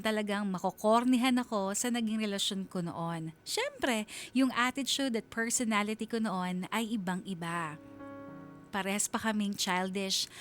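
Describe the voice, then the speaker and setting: A woman narrates a scripted radio drama at a medium pitch. She tells the story in a confiding, reflective way, as dramatic first-person storytelling.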